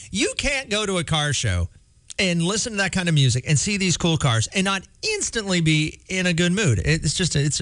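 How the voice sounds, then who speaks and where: A man's voice: a little high, a bit bright, no echo. A man working as a radio DJ, chatting casually and a bit rambling while losing his voice. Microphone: studio mic.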